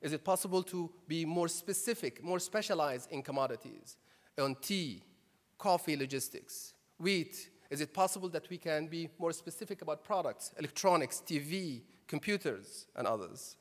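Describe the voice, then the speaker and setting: A man's voice: on the high side, expressive, normal speed. Man posing rhetorical questions in a hall. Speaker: conference speaker and chairman. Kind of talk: conference speech. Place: conference hall. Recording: reverberant hall, PA.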